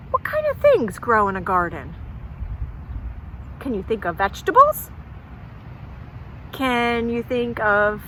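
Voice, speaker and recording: A woman telling a folktale at a children's storytime, pausing to ask young listeners questions. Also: fairly high pitch, pushed and bright, slight background noise, low-quality recording.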